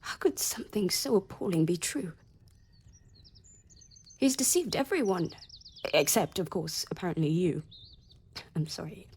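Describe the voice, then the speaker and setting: A bitter young gentlewoman ruined by love, with a lowish, husky and mellow voice. She is sardonic and intense in the manner of radio drama, haughty on the surface and wounded underneath. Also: lively intonation, unhurried, long pauses. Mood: anguished. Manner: sardonic, intense, swinging into hushed whispers.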